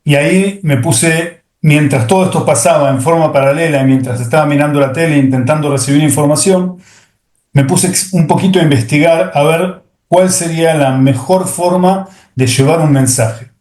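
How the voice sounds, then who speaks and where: A middle-aged man, speaking as an interview guest and pausing often with fillers and restarts. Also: a little high, a little mellow, echoey room. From Argentina. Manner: hesitant, many fillers and restarts. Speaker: guest, software executive. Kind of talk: interview conversation.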